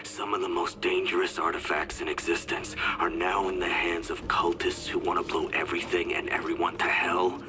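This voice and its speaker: A man voicing a ruthless, sarcastic covert boss in a video game, speaking in terse, threatening, breathy phrases. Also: level tone, normal speed, hardly any pauses, close to an everyday voice, poor audio. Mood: menacing sarcasm. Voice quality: breathy, near-whispered low voice. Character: ruthless male boss of a covert smuggling operation, menacing. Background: music.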